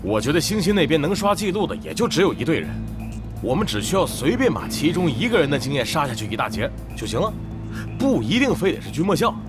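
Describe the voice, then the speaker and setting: A scheming guild chief in a radio drama. His fairly high, lively voice is commanding and calculating, set over music. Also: quick pace. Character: scheming, decisive male guild leader. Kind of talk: Chinese radio drama acting. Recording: background music under the voice.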